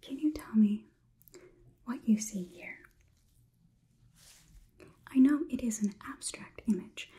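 A young woman whispering softly and deliberately in an ASMR roleplay, playing a curious, logical robot overlord who questions the listener clinically. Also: fairly high pitch. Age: young adult. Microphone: close ASMR microphone.

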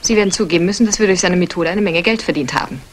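A woman's voice: lowish voice, racing, slight background noise, close to an everyday voice. An actress playing a composed, shrewd aristocratic businesswoman in a 1960s German crime radio play.

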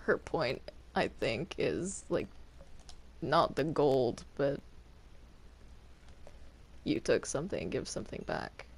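Slow, halting, gravelly gamer with animated pitch. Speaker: Minecraft roleplay player. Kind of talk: casual in-game roleplay chat.

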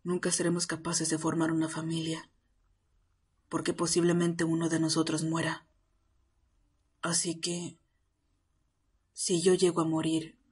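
A woman playing a brooding, possessive young male vampire in a romantic audio drama, husky and earnest. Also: low-pitched, monotone, unhurried, long pauses, darkish tone, exaggerated voice. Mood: somber, resigned.

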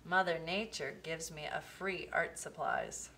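A woman reading a children's picture book aloud, with expressive, deliberate phrasing. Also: low-pitched, vocal fry, a little hiss or hum. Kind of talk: storybook read-aloud for children.

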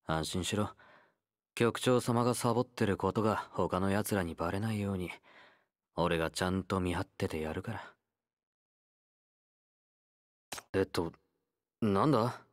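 Low-voiced laid-back slacker convict played by a man. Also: lightly acted. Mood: lazy, nonchalant. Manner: nonchalant, blunt, drawling. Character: laid-back, slacking young male convict fighter, blunt and teasing. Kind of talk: Japanese game character voice lines.

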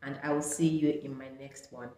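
A woman's voice: a bass voice, steady tone, fairly quick, very noisy. A young woman talks casually to camera, filling her sentences with "like" and "um". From Nigeria. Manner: chatty, sprinkled with "like" and "um". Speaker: vlogger. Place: small bright room indoors. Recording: echoey room.

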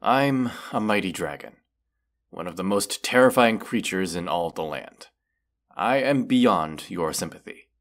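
Man voicing a deep, gravelly, haughty dragon. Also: animated. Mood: proud, indignant. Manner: haughty, slow, deliberate, archaic phrasing. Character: proud, menacing, wounded male dragon. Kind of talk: fantasy audio roleplay, theatrical monologue.

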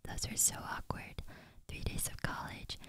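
A woman's voice: unhurried, natural voice. A woman whispering a friendly young bee buddy. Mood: teasing. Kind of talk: ASMR script reading.

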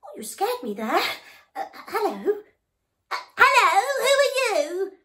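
Lady doing a shy jumpy little elephant, stammers, high and wobbly. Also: sing-song, a little slow, bright, forceful tone, full character voice. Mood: startled, hesitant. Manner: hesitant, stammering. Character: timid little male elephant, easily startled.

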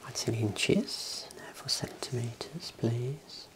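A man in his thirties doing an ASMR roleplay as an optician, whispering softly with calm clinical instructions into a close clip-on mic. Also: mid-range voice. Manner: hushed, unhurried, reassuring clinical instructions. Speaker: ASMR performer role-playing an optician. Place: small indoor room. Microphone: clip-on wireless mic on lapel, close.

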